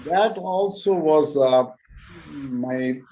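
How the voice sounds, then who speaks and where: A man's voice: a little high, very slow, mellow. A man who is a physician and YouTuber, answering interview questions hesitantly with many fillers and restarts on a video call. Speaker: guest speaker, physician and YouTuber.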